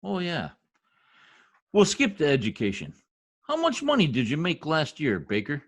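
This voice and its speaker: A man's voice: on the high side, huge pitch swings, normal speed, a bit bright, full character voice. A man playing a brash, folksy census taker in old-time radio comedy style, slangy, blustering and easily exasperated. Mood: gruff, resigned.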